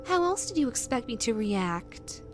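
A woman playing an obsessive, possessive yandere in a rabbit costume in an audio roleplay, cooing in a drawn-out way that swings from syrupy to threatening. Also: on the high side, sing-song, faint music behind. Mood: coldly casual. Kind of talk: dramatic audio roleplay addressed to the listener.

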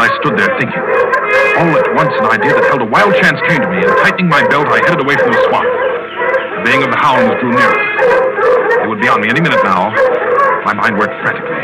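A man's voice: mid-range voice, poor audio. An actor playing a determined, hunted big-game hunter, narrating his ordeal intensely in 1940s radio suspense style. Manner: intense, dramatic first-person storytelling. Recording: vintage radio drama recording.

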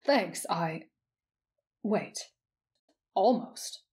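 Lady reading a polite serious young guy in an audio story, measured, formal, easily flustered. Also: on the low side, expressive, a little mellow. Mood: earnest, polite. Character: earnest, polite young blond man, foreign-born, easily flustered.

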